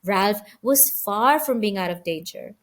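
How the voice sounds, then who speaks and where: A woman's voice: mid-range voice, lively intonation, unhurried. A woman reading a children's story aloud with a dramatic, emphatic delivery.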